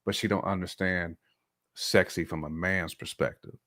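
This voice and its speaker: Low-voiced American man, blunt and slangy. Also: fairly even intonation, moderate pace, sharp tone. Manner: slangy, blunt, emphatic, repeats phrases. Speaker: podcast co-host. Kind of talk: casual opinionated relationship chat.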